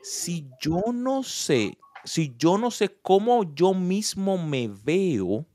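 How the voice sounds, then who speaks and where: A man's voice: a little high, no room sound, clean studio sound. Puerto rican guy talking it through, earnest, personal examples, no room sound. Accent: Puerto Rican Spanish. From Puerto Rico. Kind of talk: reflective conversational talk.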